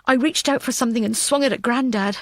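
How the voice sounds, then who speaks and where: A woman's voice: a little high, quick pace, no room sound, studio quality. A woman reading a scripted thriller audiobook as narrator.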